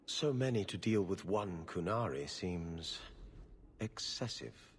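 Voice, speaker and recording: A man's voice: low voice, expressive, slow, breathy edge, in a large hall, full character voice. An actor playing a stiff, haughty male court official who speaks in a formal, curt and officious way.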